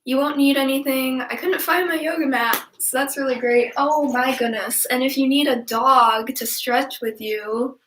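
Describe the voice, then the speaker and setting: A woman's voice: high voice, normal speed, hardly any pauses, a bit bright, echoey room, slight background noise, low-quality recording. A young woman with a stuffy, congested nose, chatting casually and rambling as she leads a stretching class from home. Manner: chatty and rambling, many ums, laughs easily. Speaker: stretching instructor. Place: home room, indoors.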